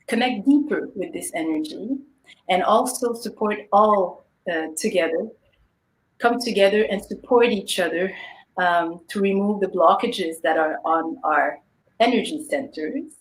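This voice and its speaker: A woman from Canada co-hosting an online meditation session, explaining the plan in a friendly way with frequent ums. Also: medium pitch. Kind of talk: warm welcoming introduction. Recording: roomy echoey sound.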